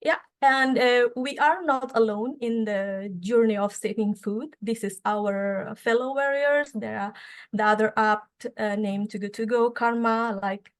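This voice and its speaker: A woman's voice: on the high side, fairly even intonation. Woman presenting with hesitant fillers. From Indonesia. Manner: halting, many fillers and restarts. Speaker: student association speaker. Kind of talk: conference presentation.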